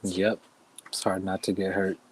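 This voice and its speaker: A man's voice: sits low, completely flat delivery, normal speed, slight background noise, poor audio. A man in his thirties, a guest fighter in a casual interview, easygoing and polite with lots of "yes, sir". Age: 30s.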